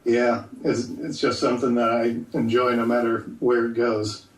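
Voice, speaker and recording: A man's voice: medium pitch, flat delivery. Easygoing male guest in an echoey room. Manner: easygoing, thoughtful, pauses to think. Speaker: guest voice actor. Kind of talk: casual podcast interview. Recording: echoey room, distant from the mic.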